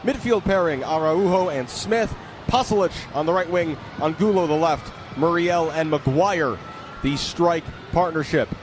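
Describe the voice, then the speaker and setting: A man's voice: a little high, measured pace. A man doing live play-by-play soccer commentary on the radio, from an open-air stadium. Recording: noisy outdoor stadium ambience. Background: loud stadium ambience.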